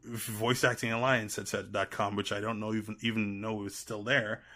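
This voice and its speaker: A man with a Trinidadian accent tinged with American, telling stories as a podcast guest in an animated, rambling way. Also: on the low side, brisk. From Trinidad and Tobago. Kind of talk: casual chat.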